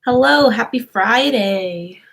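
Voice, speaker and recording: A woman's voice: on the high side, lively intonation, slow, a bit bright. A young woman hosting a casual live stream, who chats, laughs often and answers her viewers' comments.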